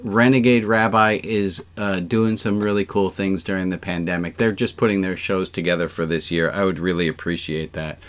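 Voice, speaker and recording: A man's voice: low-pitched, steady tone, moderate pace, hardly any pauses. A man speaking as a rabbi, giving chatty, informal announcements to an online congregation on a video call, with joking asides. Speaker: rabbi hosting an online service.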